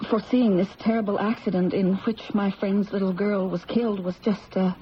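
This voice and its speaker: A woman's voice: mid-range voice, fairly even intonation, moderate pace, natural voice. An actress playing an anguished, defiant young mother with earnest, intense delivery in a radio mystery drama. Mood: doubtful, troubled. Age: young adult. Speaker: actor. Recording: muffled, hissy old radio broadcast.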